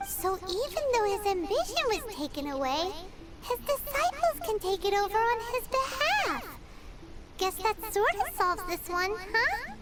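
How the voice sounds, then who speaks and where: A tiny, curious fairy companion from a video game. The voice is extremely high and childlike, with excitable, lively swings. Loud music plays behind it. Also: female voice, a little slow, heavily acted. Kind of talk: English video game voice acting.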